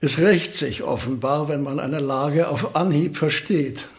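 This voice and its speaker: An absent-minded old professor in a radio play, with a fairly high male voice. He is gentle and unfailingly polite, feeling his way hesitantly through ponderous thoughts. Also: unhurried. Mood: apologetic, gentle.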